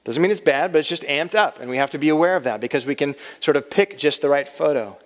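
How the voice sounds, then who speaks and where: A man in his thirties speaks at a college chapel in a slightly high voice. He talks in a relaxed, conversational way and pokes fun at himself. A long hall reverb trails behind each phrase. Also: fast talker. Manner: conversational, self-deprecating humor. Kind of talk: chapel talk.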